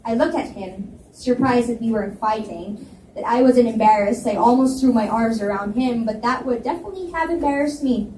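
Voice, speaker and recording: A woman giving an expressive first-person reading of a romance novel at a live event in a library. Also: fairly high pitch, moderate pace, a little hiss or hum. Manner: expressive narration with dialogue. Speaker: reader, first-person narrator. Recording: echoey library room, mic at a distance.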